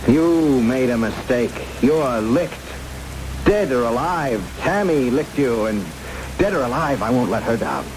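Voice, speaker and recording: An actor playing a defiant, devoted captive husband in a vintage radio drama, earnest and emotional in delivery. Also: male voice, medium pitch, animated, a little slow, close to an everyday voice. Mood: angry, defiant. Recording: old radio broadcast under loud rain-like noise.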